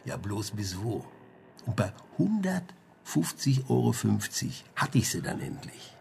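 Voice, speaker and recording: A man's voice: fairly low pitch, sing-song, a little slow, gravelly, darkish tone, a little hiss or hum, exaggerated voice. An actor playing a chatty, sly male junk-shop dealer under questioning in a radio crime drama, rambling colloquially with frequent tag questions. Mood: chatty, animated.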